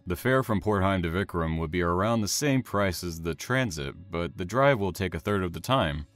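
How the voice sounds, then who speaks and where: An actor playing a polite, reserved, slightly awkward man with formal, measured speech in an audio drama. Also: low voice, expressive, fairly bright tone, faint music behind. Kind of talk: naturalistic audio drama acting.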